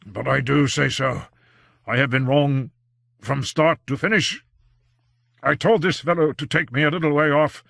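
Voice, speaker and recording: A man's voice: fairly high pitch, vocal fry, a bit bright, no room sound, studio quality, pitched above the performer's own voice. A man playing a cursed brooding magician. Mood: urgent. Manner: slow, intense and declamatory. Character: tormented cursed male magician, grave and brooding. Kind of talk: audiobook character voice.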